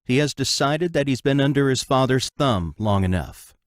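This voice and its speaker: A man narrating an audio drama from a script, announcing scenes in a measured way. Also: mid-range voice, lively intonation, no echo.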